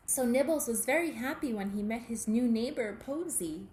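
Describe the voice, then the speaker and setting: A woman reading a children's picture book aloud, in a deliberate, expressive way aimed at young listeners. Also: a little high. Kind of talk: children's storybook read-aloud. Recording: echoey room with faint background noise.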